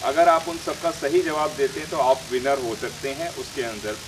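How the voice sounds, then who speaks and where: A man, presenting and interviewing on camera with a handheld microphone. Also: normal speed. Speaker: news channel host and interviewer. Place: outdoors in front of banners. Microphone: handheld news microphone. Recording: noisy outdoor sound, vehicle rumble, hiss.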